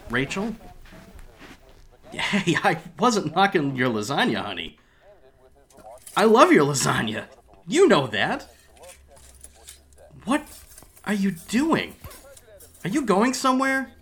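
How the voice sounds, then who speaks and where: A man's voice: mid-range voice, a bit bright, breathy edge, full character voice. An actor in an audio drama playing a flustered, bewildered man being left by his lover, pleading and stammering. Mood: casual.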